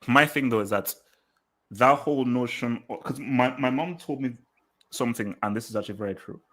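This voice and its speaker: A man who grew up in Nigeria and England, co-hosting a podcast and thinking aloud in halting, restarting sentences. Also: mid-range voice, a bit bright. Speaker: podcast co-host. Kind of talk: casual conversational podcast chat.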